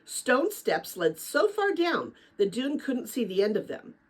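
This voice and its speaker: An older woman speaks in an informal, chatty read-aloud style, like a teacher with her class. Her voice sits fairly high and is noticeably husky. She moves her pitch around a great deal. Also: measured pace. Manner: chatty, animated, rambling with personal asides. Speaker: retired teacher reading a children's book aloud.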